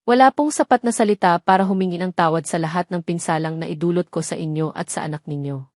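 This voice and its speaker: A woman's voice: on the low side, quick pace, a little mellow, dry recording, clean studio sound, lightly acted. A woman voicing a remorseful, respectful young man in an audio drama, polite and humble.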